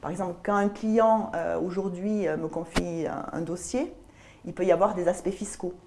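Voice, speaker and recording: A middle-aged woman with a southern French accent and a soft, mellow, slightly low voice. She gives considered interview answers, pausing mid-phrase as she looks for the right word. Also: fairly even intonation, slight background noise. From south of France (Albi). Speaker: guest, business lawyer. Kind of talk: interview answers about career.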